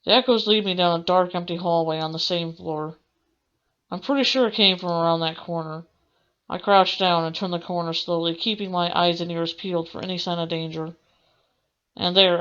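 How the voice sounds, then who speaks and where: A woman's voice: on the low side, fairly bright tone, dull audio. A woman gamer reading visual novel narration aloud, with casual asides of her own. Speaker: gamer doing a let's play. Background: game music.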